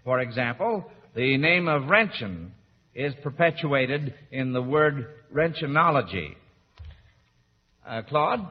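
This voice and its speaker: A man's voice: medium pitch, expressive, takes it slowly. A man hosting an old radio quiz in a formal, encouraging manner. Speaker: quiz show host. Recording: muffled old radio broadcast, hall echo, audience noise.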